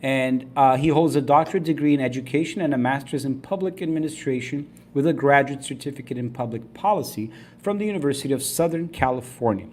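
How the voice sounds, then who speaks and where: A man hosts a spiritual podcast, reading out his guest's introduction and then chatting with him. He sounds upbeat and eager, reacting with quick approving remarks, his voice sitting at an ordinary mid pitch. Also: a little mellow, a little hiss or hum. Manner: upbeat and enthusiastic. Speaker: podcast host. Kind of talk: casual interview conversation.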